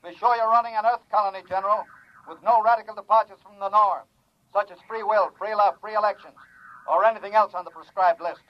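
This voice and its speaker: A man's voice: high voice, steady tone, quick pace. A man playing a domineering, officious colonial inspector in a vintage radio drama, speaking in curt commands. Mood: menacing, officious. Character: domineering, militaristic colonial inspector from imperial Earth. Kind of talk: 1950s radio acting. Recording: muffled old radio broadcast.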